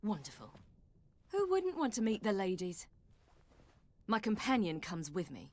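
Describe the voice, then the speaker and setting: A feisty young warrior woman in a fantasy game, wry and defiant. Her delivery is animated and confident, in a dry studio sound. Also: mid-range voice, measured pace, no room sound.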